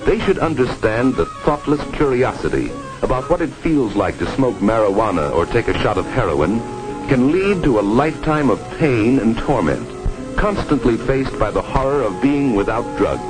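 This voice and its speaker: Narrator: American male, formal and emphatic, lively sweeping intonation, old film soundtrack with prominent music. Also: mid-range voice, normal speed, poor audio. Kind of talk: 1950s educational film narration, scripted.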